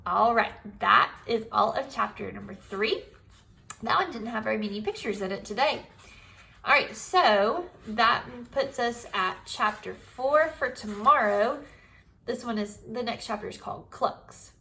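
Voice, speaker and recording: A woman teacher giving an expressive storybook read-aloud, speaking directly to young pupils. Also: fairly high pitch, unhurried, gravelly. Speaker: primary school teacher.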